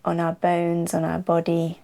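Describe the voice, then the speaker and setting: A woman leading a guided yoga nidra relaxation as the teacher, in an unhurried, soothing way with long pauses. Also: fairly low pitch, completely flat delivery, creaky, mellow. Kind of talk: slow guided relaxation narration.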